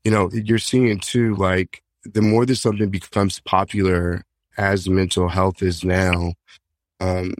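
A man's voice: low voice, no room sound. A man speaking candidly and a bit rambling as a panel guest, with many fillers. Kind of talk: panel discussion on a call.

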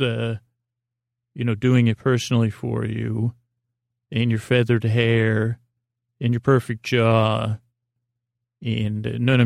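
A man with a creaky voice hosting a bedtime podcast, meandering and repeating himself in a whimsical, improvised monologue that drifts into tangents. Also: medium pitch, dry recording, studio quality. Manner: meandering, repetitive, trails off into tangents; whimsical, silly, talks to an imagined listener. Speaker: podcast host.

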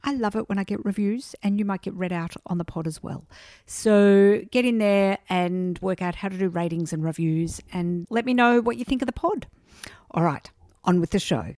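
A woman's voice: mid-range voice, normal speed, darkish tone, dry recording, studio quality. A woman with an Australian accent hosting a podcast, introducing and interviewing with an enthusiastic, emphatic manner. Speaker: podcast host.

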